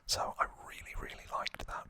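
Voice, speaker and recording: An ASMR host speaking in a breathy, unvoiced whisper, giving a soft, rambling, unscripted trivia recap with personal opinions. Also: moderate pace.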